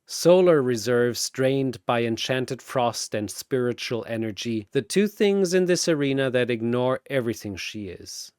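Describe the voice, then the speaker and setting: A man narrating a scripted battle story in a theatrical way, pausing for emphasis. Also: medium pitch, animated, a little mellow, smooth, no echo, clean studio sound.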